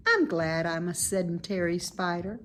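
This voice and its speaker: A woman playing a wise, calm, self-assured female spider in a children's storybook, speaking in a measured, instructive way. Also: lowish voice, a little hiss or hum, close to an everyday voice. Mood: contented, philosophical. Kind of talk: children's storybook read-aloud.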